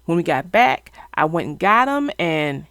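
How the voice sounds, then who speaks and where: Expressive woman with lively, swooping pitch. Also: a little slow, no echo, studio quality. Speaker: host of a children's story channel. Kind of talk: conversational story discussion.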